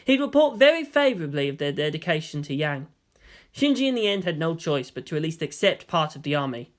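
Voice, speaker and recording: A man's voice: on the high side, sing-song, fairly quick. A man reading fan fiction aloud as scripted audiobook narration.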